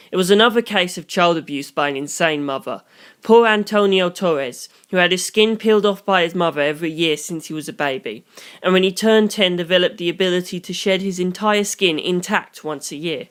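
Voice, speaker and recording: Audiobook narrator, scripted storytelling, medium pitch. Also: a little fast, fairly bright tone, clean tone. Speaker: audiobook reader.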